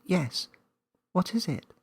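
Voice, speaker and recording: A man's voice: takes it slowly, close to an everyday voice. A man voicing a curious seven-year-old girl in a children's story, earnest, questioning and easily frustrated.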